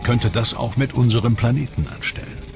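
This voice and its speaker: A man reading scripted documentary narration in a measured, dramatic way. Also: medium pitch, gravelly. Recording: voice-over with background music.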